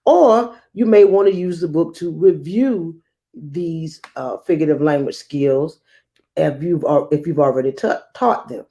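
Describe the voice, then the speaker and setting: A woman hosting a children's read-aloud as a teacher, speaking in a measured, expressive storytelling manner. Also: sits low, muffled recording.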